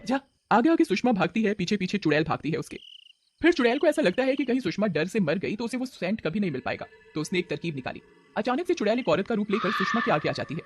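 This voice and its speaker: A man's voice: high-pitched, big pitch swings, fast, a little hiss or hum. A man narrating a horror story as a dramatic storyteller.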